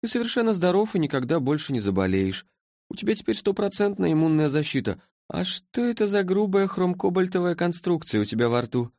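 A man's voice: sits high, sing-song, brisk, a little mellow, no room sound, studio quality, pitched above the performer's own voice. A man voicing a languid, sleepy female being from the far future in an audiobook, with a listless, clinical drawl. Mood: languidly pleased.

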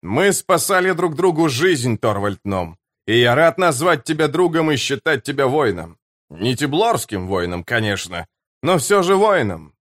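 A man's voice: medium pitch, very animated, unhurried, fairly bright tone. A man voicing a proud, blunt barbarian warrior with solemn, weighty declarations in an audiobook. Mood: solemn, grateful.

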